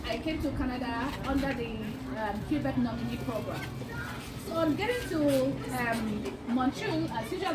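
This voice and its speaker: A woman from Nigeria telling a friendly, chatty story at an outdoor networking event, sometimes pausing on um. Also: high-pitched. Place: outdoor park. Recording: distant, echoey, noisy outdoor recording.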